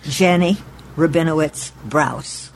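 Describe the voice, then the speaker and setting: A woman in her eighties from Oklahoma, recalling her family's past in a measured, deliberate way in her apartment. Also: sits low, a little hiss or hum, low-quality recording. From Oklahoma, United States. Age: 80s. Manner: measured, deliberate, spells out names letter by letter. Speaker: oral history interviewee. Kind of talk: recorded oral history recollection. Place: her apartment in a retirement home.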